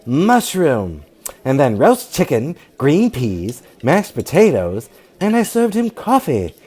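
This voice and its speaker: A polite housewife from a radio mystery, played by a woman. She talks slowly and hesitantly, with melodramatic, very lively swings in pitch. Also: husky, full character voice. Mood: shaken, hesitant. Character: polite, flustered housewife hostess hiding a crime. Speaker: actor. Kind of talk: old-time radio drama acting.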